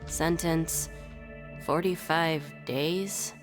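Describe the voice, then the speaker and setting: Casting: jaded female prison registrar for a game, lowish pitch, dry and sardonic. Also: steady tone, very slow, over music. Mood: dry, official. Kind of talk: English video game voice acting.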